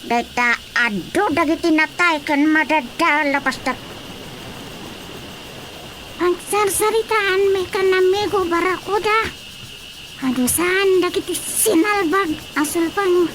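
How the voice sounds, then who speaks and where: A woman playing a lively, chatty female character in an Ilocano radio drama. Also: extremely high pitch, takes it slowly. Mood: explaining. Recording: noisy radio drama track.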